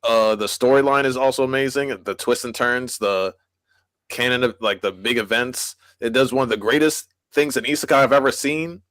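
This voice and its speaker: A man's voice: medium pitch, normal speed, fairly bright tone, no echo. A man co-hosting a podcast, talking enthusiastically and rambling with many "like"s. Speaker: podcast co-host. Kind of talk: casual chat.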